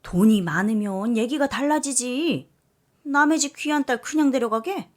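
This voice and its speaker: A woman's voice: a little high, moderate pace, voice pushed up, close to an everyday voice. A woman putting on a pushy greedy mother. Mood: prying. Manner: prying, loud and pushy. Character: greedy nagging middle-aged mother.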